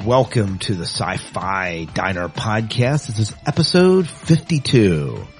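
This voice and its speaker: A man's voice: lowish voice, big pitch swings, unhurried, music in the background. A man co-hosting a podcast in casual chat, with a playful, teasing way of talking. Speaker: podcast co-host.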